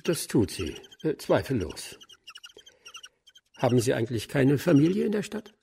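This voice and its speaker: Gravelly, wry older official. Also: male voice, medium pitch, very animated, dark tone, slight background noise. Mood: reserved, wry. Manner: dry, sardonic, measured. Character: wry, shrewd older male official, dry and curious. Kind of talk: German radio drama acting.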